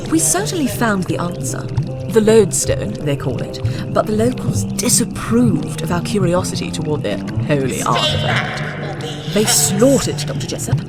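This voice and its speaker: A woman's voice: medium pitch, very animated, moderate pace, natural voice. A woman playing an educated, wry scientist hiding out as a swamp witch in a video game, articulate and dryly disdainful. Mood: dry, grim. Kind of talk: video game character dialogue. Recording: loud music behind the voice.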